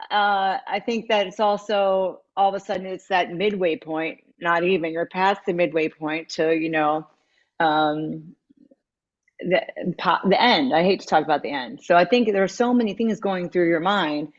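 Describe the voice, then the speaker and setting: A woman in her fifties speaks in a lower register, candid and reflective, her thoughts wandering before they land. Her voice arrives over a video call, with dull, muffled lo-fi sound. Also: fairly even intonation, moderate pace. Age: 50s. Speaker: guest, matchmaker and dating coach. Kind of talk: candid interview conversation.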